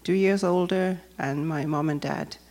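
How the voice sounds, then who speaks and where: A woman from Finland with a Finnish accent, speaking English as a second language and giving a personal testimony in a careful, hesitant way with frequent pauses. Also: low voice, steady tone, darkish tone. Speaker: church member giving testimony.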